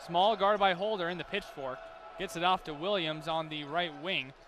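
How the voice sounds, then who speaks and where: High-pitched American man calling basketball. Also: moderate pace. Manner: detailed, running description of the action. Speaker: play-by-play announcer. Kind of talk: live basketball play-by-play commentary. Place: courtside in a large basketball arena. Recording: loud crowd and arena noise behind.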